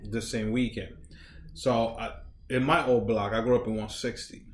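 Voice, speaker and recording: A man's voice: mid-range voice, fairly even intonation, moderate pace, reverberant. A man co-hosting a podcast in casual chat, rambling with lots of filler words. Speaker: podcast co-host.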